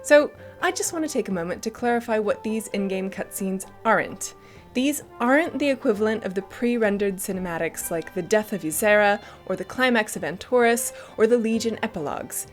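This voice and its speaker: A woman's voice: medium pitch, animated, a little fast, soft background music. A woman giving chatty, enthusiastic and emphatic scripted commentary as the host of a gaming news show.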